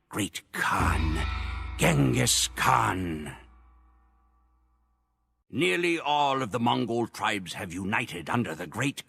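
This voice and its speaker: Grim Mongol warrior chronicler: lowish, gravelly, solemn measured game narration. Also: male voice, heavily acted. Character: grim male Mongol warrior recounting the campaign. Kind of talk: scripted dramatic narration. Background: music.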